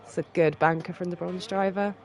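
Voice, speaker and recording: A live sim racing commentator with a stuffy cold, reacting quickly and excitedly to lap times. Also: medium pitch. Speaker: race commentator.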